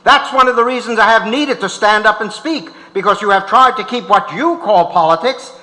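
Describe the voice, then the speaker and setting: A man's voice: sits high, normal speed, bright, forceful tone. A man playing a defiant, indignant old activist on trial in a staged reading of a court transcript. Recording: reverberant auditorium.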